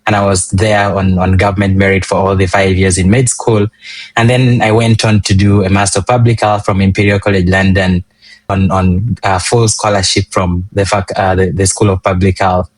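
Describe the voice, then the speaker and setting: A young man from Uganda, a public health physician, answering interview questions in an earnest, measured way with frequent ums and restarts. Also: sits low, little pitch movement, no room sound.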